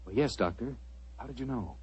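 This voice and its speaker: A man's voice: mid-range voice, expressive, a little fast, breathy edge, no echo. An American man announcing in 1940s radio style, with folksy, salesmanlike advertising patter. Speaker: radio announcer and sponsor pitchman. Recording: dull, lo-fi 1940s radio recording.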